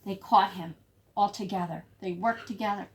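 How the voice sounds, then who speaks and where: A woman teacher reading a picture book aloud to young children, emphatic, pausing to explain and prompt. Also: mid-range voice, steady tone. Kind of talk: expressive storybook read-aloud for young children.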